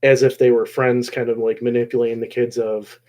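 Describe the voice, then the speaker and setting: A man hosting a casual recap discussion of a show episode, rambling along and often pausing mid-phrase. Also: mid-range voice, little pitch movement, a little mellow. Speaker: host of a show discussion video.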